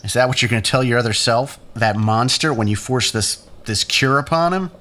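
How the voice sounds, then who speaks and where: A man's voice: mid-range voice, fairly quick, bright, forceful tone, close to an everyday voice. A man playing a sardonic, morally challenging character in an audio drama, with a wry, pointed delivery. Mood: accusing.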